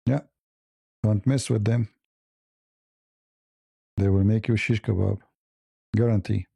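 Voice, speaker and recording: A man's voice: on the low side, fairly even intonation, takes it slowly, vocal fry, warm tone, slightly rough, dry recording. A man hosting a live news commentary stream, blunt and opinionated, rambling with hesitant fillers and speaking English as a second language. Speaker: host.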